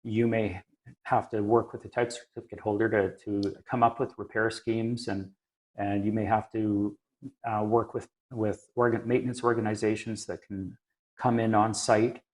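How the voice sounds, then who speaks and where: A man talking through technical points in a conversational, measured and halting way, like an experienced expert thinking aloud. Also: lowish voice, flat delivery, rough recording. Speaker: aviation maintenance engineer and inspector, podcast regular. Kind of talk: conversational explanation.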